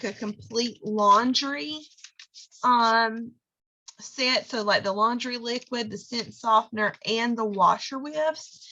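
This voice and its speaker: A hoarse woman, giving a chatty how-to talk and often checking in with "okay?". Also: a little high, sharp tone, muffled recording. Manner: chatty, lots of fillers and "okay?" check-ins. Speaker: direct sales consultant.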